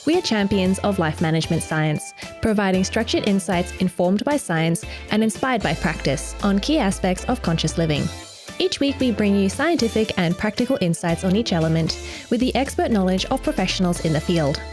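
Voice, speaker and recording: A woman's voice: mid-range voice, animated, normal speed, over music. A woman from Australia hosting a podcast, reading a scripted welcome and then asking questions in a friendly, slightly hesitant way. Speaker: podcast host. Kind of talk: scripted intro then casual interview.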